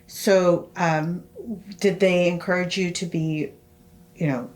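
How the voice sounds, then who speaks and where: A woman, a professor conducting an oral history interview, asking measured questions and giving brief affirmations. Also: fairly low pitch, steady tone, darkish tone, rough recording.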